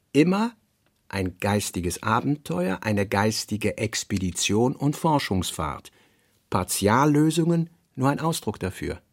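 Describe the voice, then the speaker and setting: An actor playing an intense writer musing on his book in an emphatic, halting radio reading. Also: medium pitch, darkish tone, slightly rough, exaggerated voice. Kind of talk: dramatic literary reading for radio.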